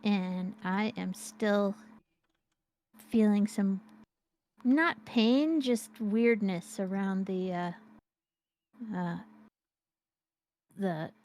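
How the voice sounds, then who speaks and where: A woman's voice: mid-range voice, very slow, long pauses, darkish tone, poor audio. A woman co-hosting a game stream, chatting in a playful, rambling way and often restarting her sentences. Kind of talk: casual gameplay chat.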